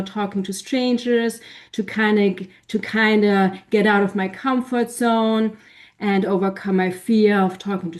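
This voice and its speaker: Woman, slow pace, measured and reflective, support group sharing, video call. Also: medium pitch, a little mellow.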